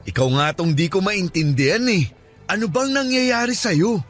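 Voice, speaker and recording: An oblivious, playful suitor in middle age. His voice sits high for a man as he coaxes and teases insistently, in Filipino radio romance style over faint music. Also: lively intonation, normal speed. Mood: coaxing. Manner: coaxing, teasing.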